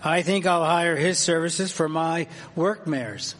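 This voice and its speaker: A man's voice: on the high side, takes it slowly, fairly bright tone. A man playing a folksy, appraising livestock farmer of the 1870s in a period radio drama. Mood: admiring. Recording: echoey hall, faint background noise.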